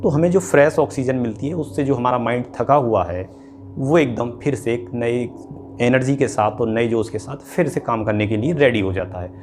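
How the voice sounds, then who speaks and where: A man's voice: mid-range voice, moderate pace, darkish tone, soft background music. A man giving an informal, explanatory exam-coaching lecture, often repeating points and checking in with students. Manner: explanatory, repetitive, with frequent check-in tags.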